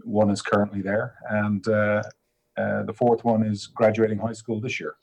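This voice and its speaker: A man's voice: lowish voice, little pitch movement, normal speed, gravelly, a little mellow, lo-fi sound. A man in his fifties, a guest answering interview questions in an easygoing, hesitant way with many fillers. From Ireland.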